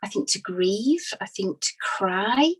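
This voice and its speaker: A woman acts as a devoted female disciple giving testimony in a dramatized inquest. She speaks at a middle pitch in a natural, conversational way. Her retelling is earnest and reflective, with hesitations as she recalls her grief. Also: expressive, takes it slowly, breathy edge. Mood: sorrowful. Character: Mary Magdalene, grieving devoted female follower of Jesus testifying.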